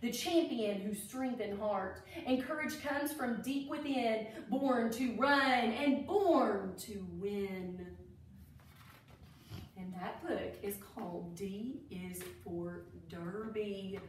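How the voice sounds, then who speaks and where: Slow-paced woman reading to children, echoey room. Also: medium pitch. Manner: addresses children, enthusiastic asides. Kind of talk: children's picture book read-aloud with asides. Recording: echoey room, distant microphone.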